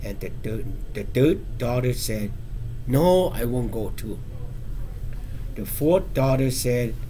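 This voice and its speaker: A man reading a picture book aloud to children, with halting, simple phrasing and casual asides to check that listeners follow. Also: medium pitch, warm tone, rough recording. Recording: faint background noise.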